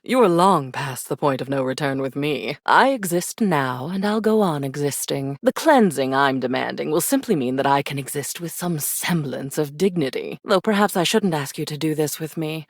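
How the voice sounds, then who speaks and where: A sardonic, sinister female embodiment of old memories from a video game. Her voice sits low and swings expressively through languid, arch phrases. She is theatrical and mock-sweet with an edge of scorn, recorded very dry. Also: animated, normal speed, hardly any pauses, dry recording. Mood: resolute, dry. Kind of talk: scripted video game character voice acting.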